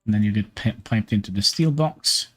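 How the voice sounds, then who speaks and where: A man's voice: fairly low pitch, a bit bright, breathy edge. Man thinking aloud over gameplay. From Norway. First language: Norwegian. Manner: thinking aloud, halting with fillers. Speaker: streamer. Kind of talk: live gameplay commentary.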